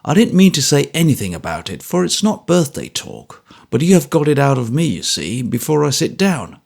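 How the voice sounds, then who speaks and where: A man's voice: mid-range voice, very animated, moderate pace, vocal fry, a little mellow. A man playing a kindly, troubled former trooper who talks earnestly and a little apologetically. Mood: apologetic. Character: troubled ex-soldier, big, gruff and kindly.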